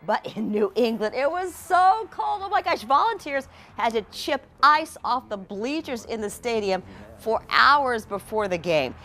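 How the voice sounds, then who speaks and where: Female news anchor, higher voice, lively swings in pitch, chatty and emphatic storyteller. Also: unhurried.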